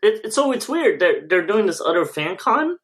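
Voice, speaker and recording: A man's voice: high-pitched, sing-song, normal speed, a bit bright. A man co-hosting a podcast in a casual, slangy conversational style. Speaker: podcast co-host.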